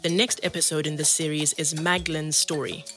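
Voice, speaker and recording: Podcast outro announcer: bright tone, medium pitch, scripted read with music behind. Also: flat delivery, brisk.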